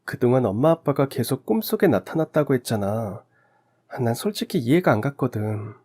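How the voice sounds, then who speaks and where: A man voicing a young newlywed wife, anxious and earnest, in a gentle pleading manner. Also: medium pitch, very animated, brisk.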